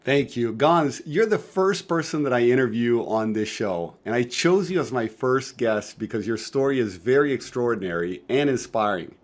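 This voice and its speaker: A man's voice: mid-range voice, lively intonation, normal speed, hardly any pauses. A man hosting an interview podcast, asking friendly conversational questions. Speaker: podcast host.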